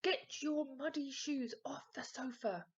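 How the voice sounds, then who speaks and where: An exasperated, scolding father from a children's storybook, acted out by a woman. He bellows loudly with the pitch pushed well up. The recording is a little dull. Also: unhurried. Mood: furious.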